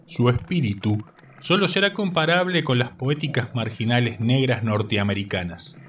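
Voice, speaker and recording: A man hosts a scripted radio segment. His voice sits at a middling pitch. It sounds set back from the microphone, with a faint noise around it. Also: lively intonation, moderate pace, recorded from a distance. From Uruguay. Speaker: host of a radio segment on spoken poetry. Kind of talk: scripted narration.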